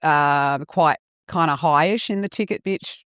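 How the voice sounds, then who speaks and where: A woman's voice: low voice, unhurried, a bit bright, clean tone, no room sound, studio quality. A middle-aged woman, born in Fiji and raised in New Zealand, who first spoke Fijian, frankly and casually telling her life story as a podcast guest. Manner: frank, laid-back storytelling with swearing. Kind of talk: casual interview chat.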